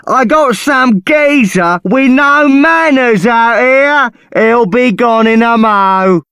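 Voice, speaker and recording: A man with a British accent playing a rough, cheeky, slangy gang henchman in a video game. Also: very high-pitched, slow, a bit bright, no echo, studio quality, exaggerated voice. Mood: gruff, offhand. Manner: slangy, brash. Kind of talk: video game character acting.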